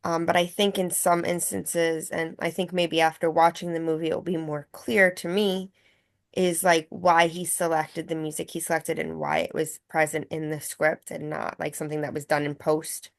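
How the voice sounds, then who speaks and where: A woman's voice: sits low, little pitch movement, normal speed, gravelly. A woman taking part in a casual discussion among actors, feeling her way tentatively through her thoughts as she talks. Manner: tentative, thinking aloud.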